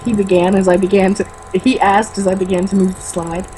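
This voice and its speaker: A woman's voice: mid-range voice, little pitch movement, a little fast, warm tone, slight background noise. A woman reading a book aloud as a co-host, mocking it with sarcastic, exasperated comments.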